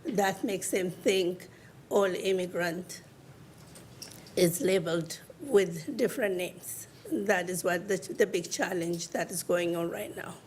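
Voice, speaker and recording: Slow, halting woman on a panel. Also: lowish voice, darkish tone, a little hiss or hum. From Africa and Middle East. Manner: halting, many pauses and fillers. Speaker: panel guest. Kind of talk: casual panel discussion.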